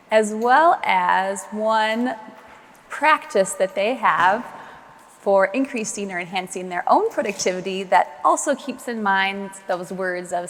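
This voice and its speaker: A woman moderating a conference panel with brisk, upbeat introductions. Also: mid-range voice. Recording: reverberant conference hall.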